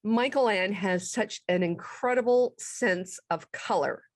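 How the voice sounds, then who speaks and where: A woman's voice: medium pitch, measured pace, dry recording, studio quality. A woman hosting an interview show, introducing her guest and asking questions.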